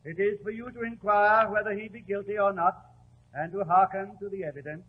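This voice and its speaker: An actor in a 1940s radio drama playing a stern court clerk with formal, declamatory speech. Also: male voice, high voice, steady tone, a little fast, natural voice. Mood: solemn, formal. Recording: tinny old radio with faint hum.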